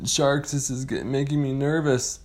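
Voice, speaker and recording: A storyteller voicing a young female adventurer, nervous and excitable, exclaiming and questioning in a children's story. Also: medium pitch, moderate pace, pitched above the performer's own voice, close to an everyday voice.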